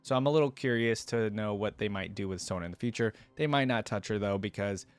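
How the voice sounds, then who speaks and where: A man's voice: fairly low pitch, fast talker, smooth. A young man gives casual, rambling reaction commentary as a video host, talking informally into a desk microphone. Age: young adult. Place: indoor room at a desk. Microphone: close desktop microphone, dry.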